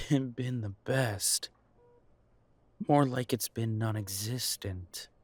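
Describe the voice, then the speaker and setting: A man's voice: medium pitch, darkish tone. Man acting bitter, sardonic young werewolf son. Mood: bitter, sarcastic. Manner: sardonic, confrontational, deliberate. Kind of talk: audio roleplay drama acting.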